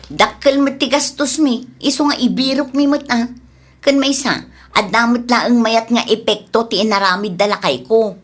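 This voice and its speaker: A practical, spirited farmer's wife with a fairly high voice and an Ilocano Filipino accent, played by a woman. She is friendly and supportive, a village character in a radio comedy drama. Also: moderate pace, close to an everyday voice. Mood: defensive.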